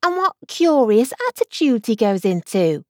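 A curious, polite little girl, as a woman reads her aloud, her voice lifted high and eager. The pitch leaps up and down with lively energy. The sound is dry and close. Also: a little slow, dry recording.

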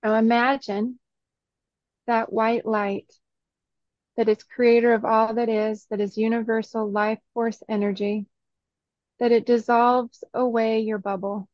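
Slow, higher-pitched woman guiding meditation. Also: flat delivery, no echo, dull audio. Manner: soothing, instructional, short phrases with long pauses. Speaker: meditation guide. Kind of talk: guided meditation.

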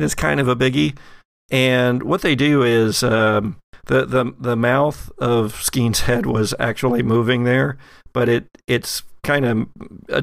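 A man's voice: mid-range voice, vocal fry, dry recording. A man acting as game master, improvising narration in a conversational, wry way.

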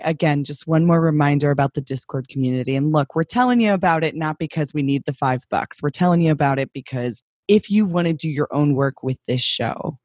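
A woman co-hosting a podcast in casual chat, hoarse this week, talking in a lively storytelling way. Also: very deep voice, no echo, studio quality. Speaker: podcast co-host.

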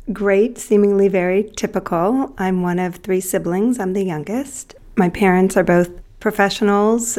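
A woman's voice: medium pitch, darkish tone. Composed woman answering interview questions. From Los Angeles. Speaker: nonprofit executive, guest.

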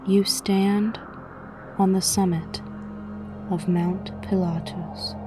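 A woman narrating a scripted guided meditation in a soothing, measured way with frequent pauses, her voice soft and breathy, often near a whisper. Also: medium pitch, level tone. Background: music.

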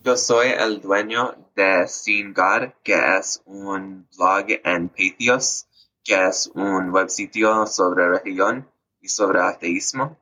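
A man's voice: low voice, slow, sharp tone, rough recording. A man from North Carolina co-hosting a podcast, speaking hesitantly and searching for words with frequent fillers. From North Carolina, USA. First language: Spanish. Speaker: podcast co-host. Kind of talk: casual podcast chat.

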